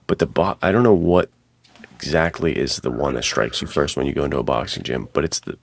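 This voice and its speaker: A man with a very deep voice full of vocal fry talks casually on a podcast. His delivery is slangy and enthusiastic, like chatting with a friend, and the sound is dry and close. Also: lively intonation, brisk, no echo. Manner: slangy, enthusiastic, illustrates points with mimicked sounds and quotes. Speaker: podcast co-host and adventure fiction writer. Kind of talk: casual conversational podcast chat.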